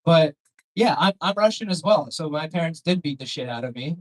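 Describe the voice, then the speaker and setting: This is a Canadian man doing stand-up on a comedy club stage, in a voice pitched a bit higher than most men's. He talks in a rambling, conversational way with a sardonic edge, swears, and turns to question the audience as he goes. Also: lively intonation, fairly quick, low-quality recording. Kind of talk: stand-up comedy with crowd work.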